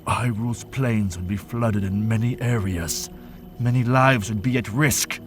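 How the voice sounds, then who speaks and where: A man's voice: fairly low pitch, measured pace, music in the background, natural voice. Man playing an anxious fantasy prince, strained and earnest. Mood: grave, urgent. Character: anxious, courteous male fish-folk prince, burdened and urgent. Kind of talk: audio drama acting.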